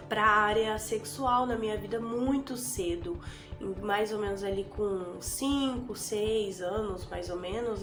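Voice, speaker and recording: A woman's voice: medium pitch, roomy sound. A Brazilian Portuguese woman with a cold giving an unscripted personal testimony, hesitant and full of fillers as she talks to the camera. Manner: hesitant, filler-heavy, repeats herself. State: has a cold, sniffling. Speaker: vlogger giving a conversion testimony. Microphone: camera and phone.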